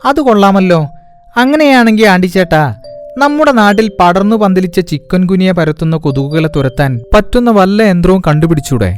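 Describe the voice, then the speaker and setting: A man voicing a sly chicken-thieving fox in a cartoon, flattering and scheming with exclamatory mock admiration. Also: high voice, a little fast. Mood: wheedling. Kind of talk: cartoon dialogue. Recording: music behind the voice.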